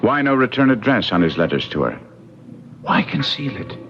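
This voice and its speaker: A man playing a formal, inquisitive and protective gentleman lawyer who also narrates, in old-time radio drama style. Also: medium pitch, big pitch swings, normal speed. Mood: insistent. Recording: muffled vintage radio recording with music.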